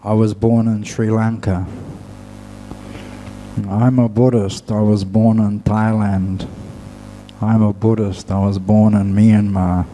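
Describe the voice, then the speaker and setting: Low, slow, measured male Buddhist teacher. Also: flat delivery, mellow, slight background noise, rough recording. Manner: measured, halting delivery with long pauses. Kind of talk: dhamma talk to an audience.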